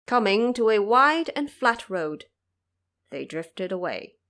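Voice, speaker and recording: A woman tells a scripted fantasy story as an audio drama narrator. She takes her time, with a dramatic, deliberate delivery and a medium-pitched voice.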